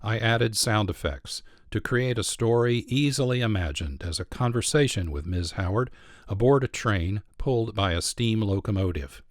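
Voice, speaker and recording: A man's voice: on the low side, moderate pace, no echo, clean studio sound. An American man hosting a radio program with scripted narration. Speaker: radio show host.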